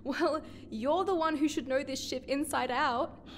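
A woman's voice: sits high, a little slow, slight background noise. A woman playing a brash, casual young spaceship crew member in an audio drama. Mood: puzzled, skeptical. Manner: casual, exclamatory. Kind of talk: science fiction audio drama.

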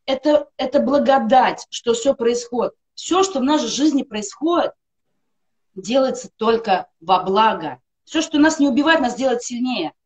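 A woman's voice: fairly high pitch, animated, normal speed. A middle-aged woman giving an earnest personal testimonial on a video call from home, piling up emphatic lists. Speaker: guest on a live stream. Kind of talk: personal testimonial for a wellness product. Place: home room, indoors.

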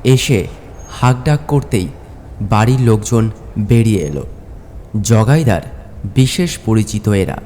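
A man narrating a horror story in the first person, dramatically, in halting, suspenseful short phrases. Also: lowish voice, expressive, mellow, slight background noise.

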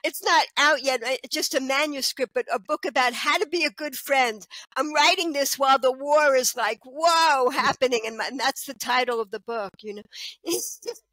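Lively high-voiced woman on a headset. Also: normal speed, no echo, low-quality recording. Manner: animated, gestures a lot, lots of "you know". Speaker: guest, children's author. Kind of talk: casual video call chat. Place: small room at home. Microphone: headset mic on a video call.

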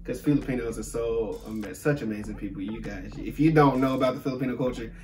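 A man's voice: mid-range voice, fast talker, darkish tone. American man, echoey and off-mic. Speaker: reaction video co-host. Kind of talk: casual reaction chat. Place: indoor room with fabric backdrop. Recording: echoey room, distant microphone.

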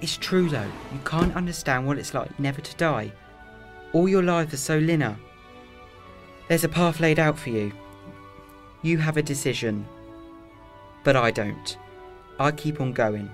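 A man's voice: fairly high pitch, measured pace, long pauses, faint music behind. A man acting a lonely, regretful immortal in an audio drama, gloomy and understated. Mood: earnest, regretful.

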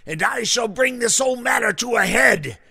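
Gravelly, exasperated, pompous theater director. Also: male voice, very high-pitched, lively intonation, moderate pace, sharp tone, no echo, studio quality, exaggerated voice. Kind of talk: comedy audio drama acting.

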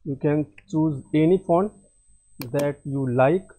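Man, software tutorial, slow delivery, mellow tone, halting with self-corrections. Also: a little high. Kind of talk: screen-recorded software tutorial.

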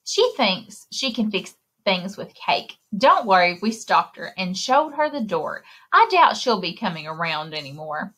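A woman in her thirties reading a picture book aloud to children in an expressive, exclaiming way, speaking directly to her young listeners, with a slightly husky voice. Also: medium pitch, a little slow, hardly any pauses, rough recording. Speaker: children's librarian and story time reader. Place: indoor room, recorded screen share with webcam.

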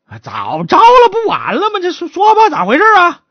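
Bullying county magistrate in a traditional tale, acted by a woman: high, barking, wildly swinging delivery, threatening and folksy. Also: huge pitch swings, fairly quick, no echo, lo-fi sound, full character voice. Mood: threatening, angry. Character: bullying, hot-tempered county magistrate, a man.

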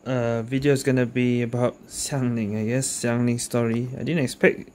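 A woman's voice: very deep voice, fairly even intonation, normal speed, background noise. A woman giving casual, amused gameplay commentary, reacting hesitantly and reading out on-screen text. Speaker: gaming video creator.

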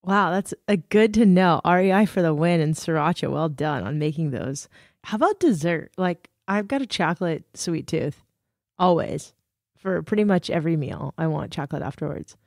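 A woman's voice: deep voice, lively intonation, moderate pace, vocal fry, a little mellow, no echo, clean studio sound. A woman hosting a podcast, asking curious, upbeat questions. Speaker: podcast host. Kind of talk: interview questions.

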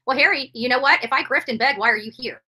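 A woman's voice: high-pitched, quick pace, pushed and bright, husky. A middle-aged woman hosting a livestream, giving indignant commentary in an emphatic way, repeating phrases for stress. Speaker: livestream host. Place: bedroom, indoors.

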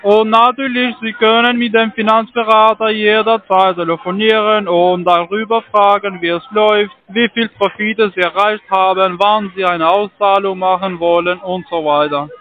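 A man with a foreign accent, not a native speaker, making a phone sales pitch in halting, ungrammatical sentences. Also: steady tone. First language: not German. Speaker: call centre phone salesman. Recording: muffled phone line.

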